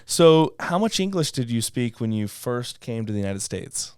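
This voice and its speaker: A man's voice: mid-range voice, very animated, moderate pace, darkish tone, smooth, no room sound, studio quality. A man hosting a real estate podcast, interviewing a guest in an encouraging way, often restarting his sentences. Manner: encouraging, repeats himself in false starts. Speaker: podcast host, realtor. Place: podcast studio in an office.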